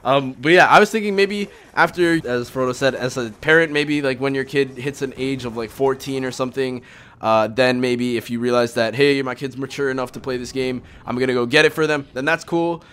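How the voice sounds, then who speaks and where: A young man with a clear, smooth voice and middling pitch hosts a laid-back gaming conversation. He talks informally and rambles as he goes, often qualifying what he says. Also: brisk, a bit bright. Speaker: host of a gaming video series. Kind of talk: casual chat over gameplay.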